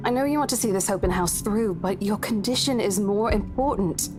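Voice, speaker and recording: A woman's voice: medium pitch, brisk, music in the background, lightly acted. A woman playing a concerned, firm senior colleague in a visual novel game, earnest and no-nonsense.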